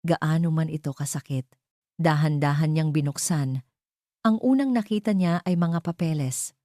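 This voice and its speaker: A woman narrates a scripted love story with dramatic phrasing. Her voice sits very low and has a dark, mellow tone. The recording is dry and close, with no room sound. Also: moderate pace, no room sound.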